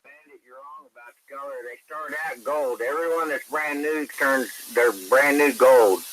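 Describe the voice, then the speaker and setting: An American man talks over a CB radio in a medium-pitched voice. His delivery is casual and chatty, with a joking style. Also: normal speed, a crowd in the background, low-quality recording. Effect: CB radio transmission. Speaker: CB radio operator.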